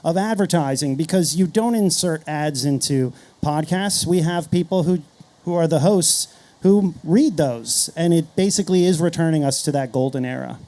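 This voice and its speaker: Male marketer on a panel, middle-aged. Soft dark timbre, slightly high register, measured and earnest. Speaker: marketer and podcast creator, panel guest. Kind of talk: explanatory panel talk.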